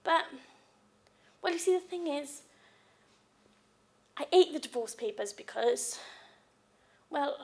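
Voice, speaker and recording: A woman's voice: sits high, lively intonation, slow pace, pauses often, darkish tone, heavily acted. A woman performing a stage monologue as a jilted, clingy wife, sarcastic and desperately in love. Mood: sheepish, fragile.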